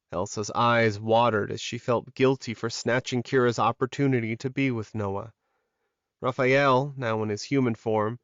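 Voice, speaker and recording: A man's voice: medium pitch, fairly bright tone, dry recording, highs cut off. Very dry-sounding male audiobook narrator. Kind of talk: scripted dramatic fiction narration.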